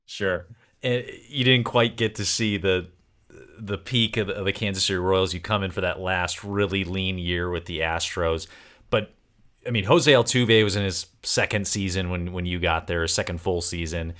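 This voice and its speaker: Male, deep low voice, podcast host, casual sports interview. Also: steady tone, normal speed, a bit bright.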